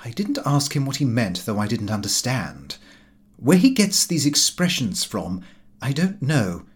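A man's voice: mid-range voice, very animated, unhurried. A man narrating a comic diary novel as an audiobook reader, in a prim, fussy, self-important manner.

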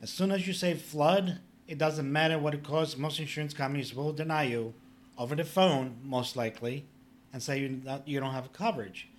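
A man's voice: a little high, fairly even intonation, moderate pace. Man explaining plainly. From Poland. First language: Polish. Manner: plain-spoken and instructive, with filler "you know". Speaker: public adjuster, guest. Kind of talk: casual podcast interview.

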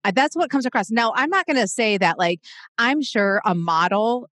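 A woman's voice: fairly high pitch, animated, moderate pace, fairly bright tone, dry recording, clean studio sound. A woman in her forties chatting casually as a podcast co-host, reflective and full of fillers and restarts. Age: 40s. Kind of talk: casual chat.

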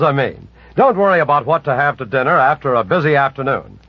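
A man's voice: on the high side, breathy edge, no room sound. A man reading a commercial as a 1940s radio announcer, on a muffled vintage recording.

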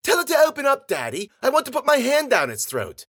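A man's voice: very high voice, huge pitch swings, a little fast, pitched above the performer's own voice, heavily acted. A man voicing creepy, gleeful little girls with an insistent, babbling, squealing delivery in a narrated story. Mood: excited, demanding. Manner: babbling, giggly, repetitive and insistent.

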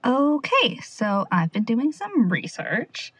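A woman playing a wry young amateur investigator in an audio drama, quietly talking through her notes in a rambling, sardonic, confiding way. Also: a little high, big pitch swings, slow pace, slight background noise, full character voice. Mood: hushed, wry. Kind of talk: audio drama monologue, casual confiding log.

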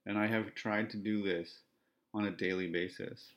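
A man from Ontario speaking in a podcast monologue, with a self-described monotone, flat delivery and measured, repetitive phrasing. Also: lowish voice, highs cut off. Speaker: podcast host.

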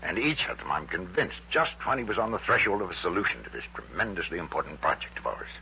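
Very deep, pompous project boss man. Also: very animated, quick pace, vocal fry, sharp tone, a little hiss or hum, exaggerated voice. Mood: grave. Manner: formal, pompous, emphatic. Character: proud, grandiose male head of a rocket-fuel project. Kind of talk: 1960s radio drama acting. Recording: dull, humming early-1960s radio recording.

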